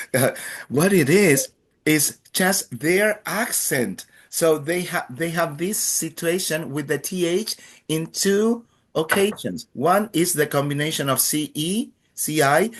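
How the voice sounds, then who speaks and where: Chilean guy teaching spanish, slow and easygoing, lots of uhs, cheering students on. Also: on the high side, a bit bright, breathy edge. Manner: encouraging, playful, many filler sounds. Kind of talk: language class instruction.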